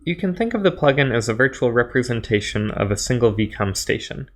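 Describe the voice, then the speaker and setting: A man presenting a scripted instructional tutorial, explaining step by step in a measured way. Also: mid-range voice, lively intonation.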